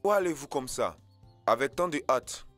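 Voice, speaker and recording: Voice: mid-range voice, animated, moderate pace, a bit bright, soft background music, lightly acted. An actor voicing a lonely, gluttonous, big-mouthed animal uncle in a French children's cartoon, with a theatrical, plaintive delivery. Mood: excited.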